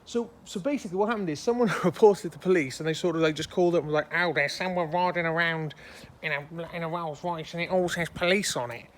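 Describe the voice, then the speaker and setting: A hoarse man, chatting casually in a rambling, self-mocking way as he walks through his car for a vlog. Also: a little high, quick pace, a little hiss or hum. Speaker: car vlogger.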